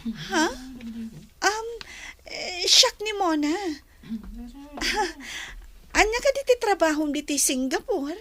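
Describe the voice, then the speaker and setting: An actress playing a coy, chatty young Filipina caregiver in a comedy radio drama, with a Filipino accent. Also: female voice, extremely high pitch, very animated, slow pace, long pauses, pushed and bright, full character voice. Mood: coy, flustered.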